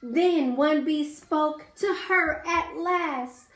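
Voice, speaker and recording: A woman's voice: high-pitched, very slow. A woman teacher reading a picture book aloud to children, coaxing them to count along and asking them questions. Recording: roomy echo.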